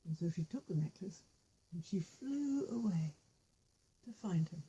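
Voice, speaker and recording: Soft, mellow woman telling a folk tale. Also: lowish voice, expressive, a little slow, breathy edge, rough recording. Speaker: storyteller with puppet figures. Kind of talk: oral folk tale storytelling, informal.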